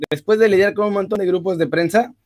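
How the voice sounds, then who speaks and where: A man's voice: sits high, quick pace, darkish tone. A man with a Mexican accent co-hosting a live sports stream, joking in an easygoing way and using slang. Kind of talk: casual live-stream chat.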